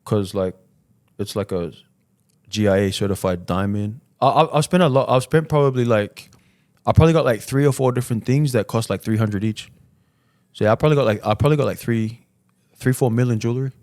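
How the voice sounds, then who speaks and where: A man's voice: medium pitch, fairly quick, darkish tone, no room sound, studio quality. A man from Fiji chatting casually as an interview guest, in slangy, informal speech full of "man" and "you know". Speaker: podcast guest, entrepreneur. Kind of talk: casual chat.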